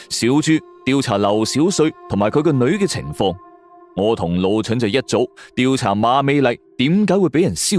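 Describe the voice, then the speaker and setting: A man with a Hong Kong Cantonese accent narrating a detective story dramatically in the first person. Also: on the low side. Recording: background music under voice. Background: music.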